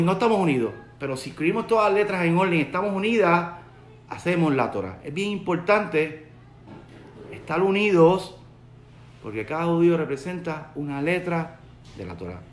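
A man in his forties from Puerto Rico with a Puerto Rican Spanish accent, giving an earnest Torah lesson to a webcam in his study, repeating his key points. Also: fairly high pitch. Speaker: rabbi. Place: home study with bookshelves, indoors. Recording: roomy echo.

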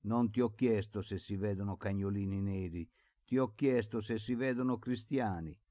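A man voicing a stern old blind man in a storybook, gruff, impatient and scolding. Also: fairly low pitch, moderate pace, mellow, no room sound, lightly acted.

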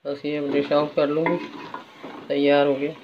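A woman's voice: low voice, flat delivery, normal speed, noisy background. A woman casually narrating a recipe as a home cook while she works at the stove. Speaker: home cooking vlogger. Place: home kitchen, indoors. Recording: dull phone audio, loud frying sizzle. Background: frying, sizzling and stirring.

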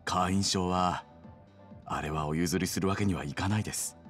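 An actor playing a courteous, smooth-talking nightlife guide and wheeler-dealer in a Japanese video game, with polite salesmanlike phrasing. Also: male voice, deep voice, normal speed, soft background music, lightly acted. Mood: wary, reluctant.

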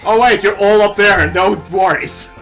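A man's voice: high-pitched, steady tone, normal speed, fairly bright tone. A man bantering brashly and swearing while playing a party video game with friends. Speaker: gaming commentator. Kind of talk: casual gaming banter. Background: game music.

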